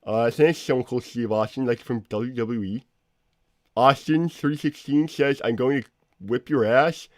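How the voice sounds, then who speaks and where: A man playing an awkward, exasperated cartoon animal inventor, co-hosting an in-character livestream in halting, repetitive chat. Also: mid-range voice, steady tone, darkish tone, dry recording, clean studio sound, lightly acted. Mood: bemused, awkward. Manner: halting, stammering, repeats himself. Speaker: livestream co-host.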